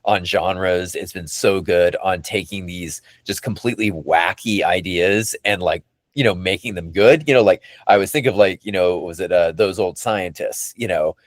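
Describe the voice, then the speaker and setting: A man's voice: low-pitched, normal speed, no echo. A man hosting a podcast in casual fan chat, enthusiastic and effusive, rambling and circling back over his phrases. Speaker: podcast host.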